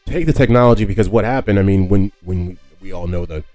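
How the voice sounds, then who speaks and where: A man with a low, mellow voice hosts a history podcast. He explains things casually, feeling his way through sentences and often rewording as he goes. Faint music sits behind him. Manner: halting, conversational, often rephrasing himself. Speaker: podcast host.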